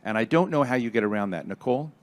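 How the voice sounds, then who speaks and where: A man's voice: medium pitch, lively intonation, very fast. A man moderating a live panel discussion, talking in a rambling, conversational way. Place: stage before a live audience.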